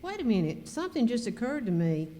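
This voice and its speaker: Woman playing a high, mellow, suspicious lady passenger. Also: very animated, brisk. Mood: realizing, alarmed. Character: curious, suspicious woman cruise passenger piecing things together. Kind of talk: amateur live radio skit acting. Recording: echoey hall, faint noise.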